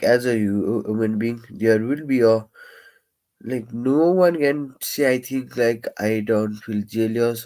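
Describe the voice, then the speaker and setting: A young man who stammers, talking in a casual, reflective live-stream monologue with many pauses, fillers and restarts. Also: lowish voice, mellow.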